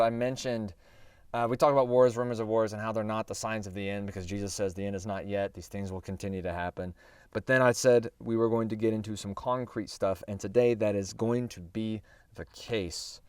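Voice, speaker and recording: A male host teaching Bible prophecy in a casual, rambling way. He corrects himself as he goes, and his voice is slightly hoarse. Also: fairly low pitch, darkish tone, dry recording, far from the mic. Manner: chatty and rambling, with self-corrections and asides.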